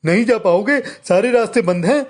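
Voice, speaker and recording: Man playing an urgent, high-pitched male friend. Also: animated, normal speed. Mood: alarmed. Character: alarmed male friend bringing urgent word.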